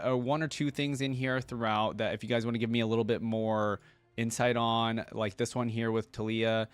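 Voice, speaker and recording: A man's voice: medium pitch, clean tone, no echo. A man giving casual reaction commentary as host, talking about a game character's voice lines.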